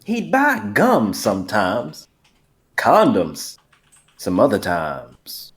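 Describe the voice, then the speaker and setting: A man's voice: fairly low pitch, wildly swooping pitch, extremely slow, exaggerated voice. A man playing an opinionated, suspicious late-night cashier in a dramatic script reading, with an insistent, gossipy manner. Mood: confiding.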